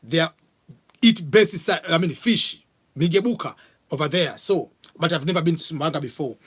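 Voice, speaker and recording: Tanzanian man with a high voice. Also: a bit bright, slightly rough. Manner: casual, halting, many fillers and restarts. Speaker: vlog host answering viewer questions. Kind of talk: live Q&A chat.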